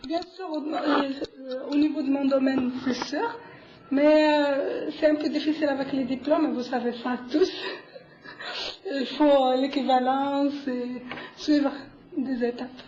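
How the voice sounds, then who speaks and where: A young woman from Morocco introducing herself hesitantly to a group, with many pauses and fillers, in an echoey room. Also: high-pitched, fairly even intonation. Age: young adult. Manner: hesitant, many fillers and restarts, soft laughs. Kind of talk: halting self-introduction to a group. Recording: echoey room, a bit dull, faint hiss.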